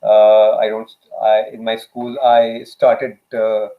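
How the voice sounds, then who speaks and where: A man's voice: on the low side, completely flat delivery, takes it slowly, gravelly. A man whose first language is Urdu, speaking as a guest in a casual interview, hesitant with many fillers and restarts. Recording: tinny phone-like line.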